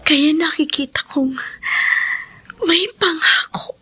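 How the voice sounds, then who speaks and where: An actress playing a happy, devout wife, tender and solemn, in a Filipino radio drama with a Filipino accent. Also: female voice, sits high, slow pace, bright, forceful tone, dry recording, heavily acted. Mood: hopeful. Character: happy, devout young wife full of hope.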